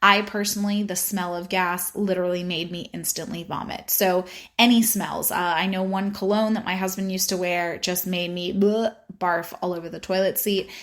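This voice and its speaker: Upbeat woman with a Spanish accent. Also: mid-range voice. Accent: Spanish-accented English. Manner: upbeat, playful asides while explaining. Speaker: podcast host. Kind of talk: informative podcast monologue.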